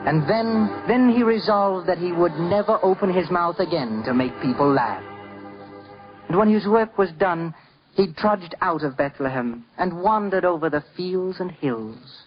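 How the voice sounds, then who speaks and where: A man playing a tender, theatrical storyteller, narrating a scripted 1950s radio drama in a deliberate, expressive way. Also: sits high. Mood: sombre, gentle. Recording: dull 1950s radio recording. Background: music.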